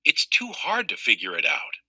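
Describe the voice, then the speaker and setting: A man's voice: lowish voice, huge pitch swings, normal speed, bright, forceful tone, husky, dry recording, pitched below the performer's own voice, exaggerated voice. A man voicing an anxious, questioning storybook child who speaks in an earnest, pleading way. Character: anxious, questioning young child narrator of a novel.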